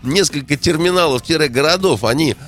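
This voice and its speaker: A man's voice: on the high side, moderate pace, fairly bright tone. A man in a heated political discussion, indignant, firing rhetorical questions and hesitating often.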